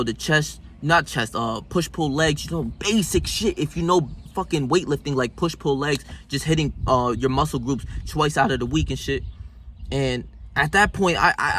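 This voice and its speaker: A man in his twenties telling a story as a casual rant, animated and profane, full of slang and fillers. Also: on the high side, breathy edge. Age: 20s. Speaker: vlogger.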